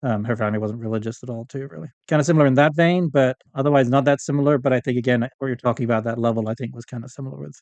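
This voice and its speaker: A man's voice: mid-range voice, steady tone, racing, hardly any pauses, a little mellow, no echo, muffled recording. A middle-aged man chatting casually as a podcast guest, in an informal, rambling way. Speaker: podcast guest, audio fiction writer. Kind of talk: casual conversational interview.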